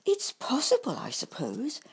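A woman voicing a gentle, worried male miniature badger in a storybook reading. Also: sits high, wildly swooping pitch, a little slow, slightly rough, voice pushed up, full character voice. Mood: apologetic. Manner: kindly, worried, soft-spoken. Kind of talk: storybook character voice.

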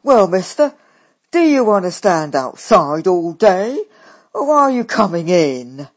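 Woman playing a blunt young woman who talks loud and slow, storybook figure. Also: lowish voice, big pitch swings, heavily acted. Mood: brash.

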